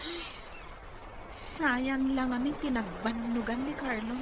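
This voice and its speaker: A woman's voice: on the high side, takes it slowly. A woman playing an earnest, loyal young sweetheart in an Ilocano audio drama. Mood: aggrieved. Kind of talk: Ilocano radio-style drama acting. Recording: clearly noisy background.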